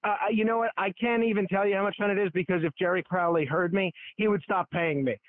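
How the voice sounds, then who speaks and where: A man's voice: sits high, steady tone, no echo. Wisecracking man on a tinny phone line. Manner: wisecracking, quick. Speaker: radio host calling in as guest. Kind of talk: phone-in interview.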